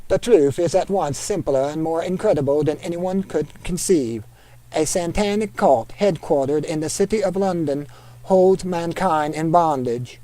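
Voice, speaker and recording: A man reading an article aloud in a put-on Norwegian accent, steady and deliberate. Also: fairly high pitch, no room sound, natural voice, poor audio.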